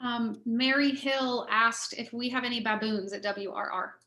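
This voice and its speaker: Woman with a highish voice moderating an online chat, kinda roomy echo. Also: steady tone, normal speed, sharp tone. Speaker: event moderator. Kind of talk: casual online event moderating, reading messages aloud. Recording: roomy, echoey sound.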